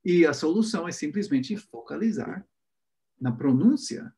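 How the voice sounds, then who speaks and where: Gravelly man, native English speaker. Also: a little high, lively intonation, unhurried, mellow. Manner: playful, mimics words and pronunciations. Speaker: English teacher, host. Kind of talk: casual podcast chat.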